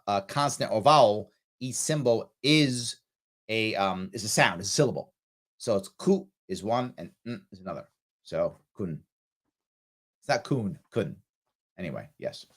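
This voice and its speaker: Casting note: middle-aged New man, live-stream host, casual and offhand, mid-pitched voice. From New York City, South Bronx. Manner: reads chat comments aloud, then answers offhand with fillers. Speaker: livestream host answering viewer questions. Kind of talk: live ask-me-anything chat.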